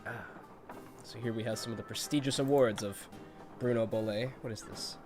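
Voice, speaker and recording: A man's voice: on the low side, fairly even intonation, moderate pace, music in the background. A congested man with a cold giving chatty, nostalgic commentary while streaming a video game, laughing often.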